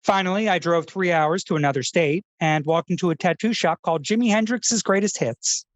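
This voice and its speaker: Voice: mid-range voice, fairly quick, dry recording, natural voice. A performer playing an earnest, self-serious male public radio host who speaks in a pensive, pompous, awkwardly polite way, as in a scripted feature parody.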